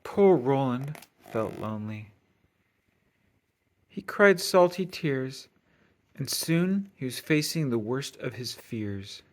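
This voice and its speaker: Male storybook reader, mid-range voice, expressive sing-song delivery for young listeners. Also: medium pitch, slow, long pauses. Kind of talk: expressive children's read-aloud narration.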